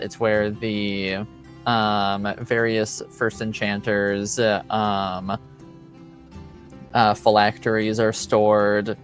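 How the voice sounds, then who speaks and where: Casting: male game master, lowish voice, unhurried halting delivery, improvising fantasy narration. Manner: hesitant, halting, searching for words.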